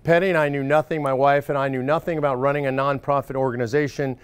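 Man, 40s, loud, joking, speech to supporters, smooth, dry sound. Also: mid-range voice, level tone, fast talker, no room sound. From Northern California. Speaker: speaker addressing supporters. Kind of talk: casual stump speech. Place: room with live audience.

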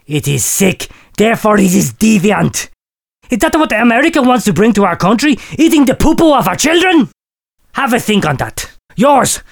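A male comedy host reads a listener letter with exaggerated, sermon-like gravity. His pitch swings widely and he stretches his words out. He keeps cracking up partway through lines. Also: creaky. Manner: drawn-out, preacherly delivery breaking into laughter. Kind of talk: mock-solemn comic letter reading.

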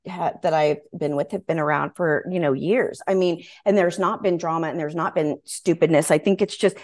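A woman's voice: sits low, fairly even intonation, normal speed, darkish tone. A woman in her forties hosting a podcast in a casual, candid, emphatic and informal way. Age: 40s. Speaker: podcast host. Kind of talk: casual chat.

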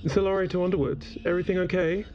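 A man playing a steady, dryly teasing spaceship colleague in an audio drama, composed and heard over a radio comm. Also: high-pitched, quick pace, highs cut off, soft background music. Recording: thin band-limited comm, faint noise.

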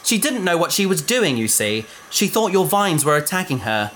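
An actor playing a prim, fussy researcher who talks formally. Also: male voice, fairly high pitch, big pitch swings, normal speed, a bit bright, slight background noise. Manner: formal, long-suffering. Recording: studio drama with faint music.

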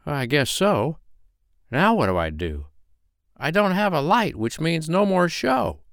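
A man's voice: on the high side, sing-song, a little slow, a bit bright, no echo, clean studio sound, pitched above the performer's own voice, exaggerated voice. A man voicing a small, naive, earnest male firefly with a plaintive manner in a bedtime story. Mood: dejected.

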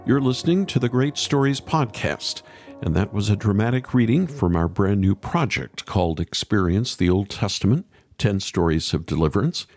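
A man hosting a podcast interview, asking questions in a measured, orderly way. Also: lowish voice, expressive, a little mellow, faint music behind.

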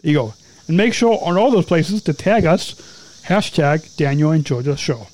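Male podcast co-host, high mellow voice, dry close sound, cheerful rambling sign-off. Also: animated, normal speed, poor audio. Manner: repetitive, stumbling, upbeat.

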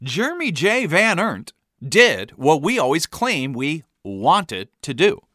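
A man's voice: a little high, huge pitch swings, slow, sharp tone, dry recording, clean studio sound. An American man narrating a scripted comedic memoir in a wry, theatrical storytelling manner.

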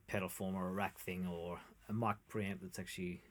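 Relaxed man, casual musing. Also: deep voice, moderate pace. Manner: relaxed, short agreeing replies and musing asides. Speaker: podcast co-host. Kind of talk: casual chat.

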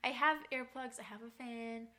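A woman's voice: on the high side, fairly even intonation, normal speed, bright, forceful tone. A woman from Massachusetts co-hosting a podcast, chatting casually and playfully at home and laughing often. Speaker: podcast co-host. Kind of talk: casual chat. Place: home room. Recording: party crowd noise behind. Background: neighbors' party.

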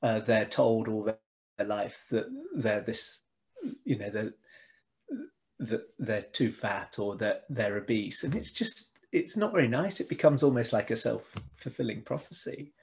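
A man's voice: on the low side, a little slow, a little mellow. A man, a podcast guest explaining his ideas earnestly with many fillers and restarts. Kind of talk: casual interview chat.

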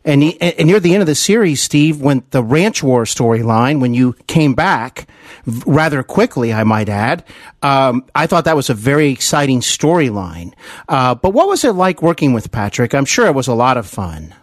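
A man's voice: medium pitch, sing-song, moderate pace, dry recording, studio quality. A man hosting a conversational podcast interview, effusive and wordy, feeling his way into each question. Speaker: podcast host interviewing a guest.

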